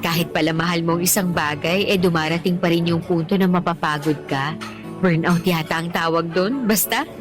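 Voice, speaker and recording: A woman's voice: lowish voice, steady tone, normal speed, close to an everyday voice. A woman acting as a candid, talkative teacher and single mother on a date in a Tagalog radio drama. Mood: chatty, open. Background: background music.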